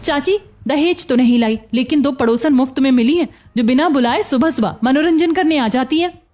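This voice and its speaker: Woman acting a high-pitched dramatic young daughter-in-law. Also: a little fast, darkish tone, close to an everyday voice. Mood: cheeky, sarcastic. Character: young amnesiac daughter-in-law, former beggar, distressed but sharp-tongued. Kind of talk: Hindi cartoon story voice acting.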